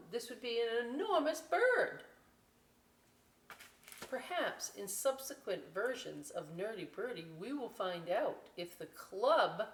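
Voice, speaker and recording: A woman reading a picture book aloud as a story time host, expressive, with chatty questioning asides to young listeners. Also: medium pitch, far from the mic. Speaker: library story time reader. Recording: echoey room, away from the mic.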